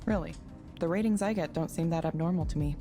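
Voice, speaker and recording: A woman's voice: low-pitched, fast, over music. An actress playing a reserved, thoughtful woman engineer in a visual novel, with an understated, hesitant delivery. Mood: reserved, doubtful.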